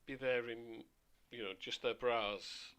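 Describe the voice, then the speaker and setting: A man streaming a flight simulator, chatting casually with rambling asides, his voice a little hoarse. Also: medium pitch, steady tone, slow, a bit bright. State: slightly hoarse. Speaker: flight sim livestreamer. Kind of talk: casual livestream commentary.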